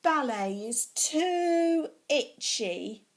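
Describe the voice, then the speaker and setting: Stroppy storybook little girl, played by a woman: high, loud, whiny, swooping through tantrums. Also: animated, extremely slow, heavily acted. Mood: whiny, stubborn. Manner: shouting, whiny, dragging out words.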